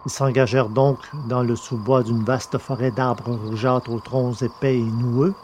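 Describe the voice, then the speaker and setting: Medium-pitched man narrating a scripted podcast. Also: level tone, unhurried, a little mellow, a little hiss or hum.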